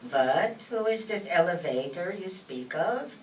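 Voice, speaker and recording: A woman's voice: lowish voice, expressive, measured pace, recorded from a distance. Woman playing an indignant old immigrant mother, echoey stage. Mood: baffled. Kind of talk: comic old-time radio skit acting. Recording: echoey stage recording from afar, faint noise.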